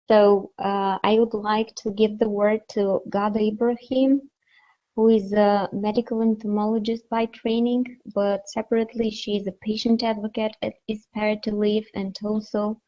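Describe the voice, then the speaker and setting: A woman introduces a speaker over a video call in a polite, formal way. Her voice is mellow and warm, and the call audio sounds dull and lo-fi. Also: medium pitch. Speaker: session moderator.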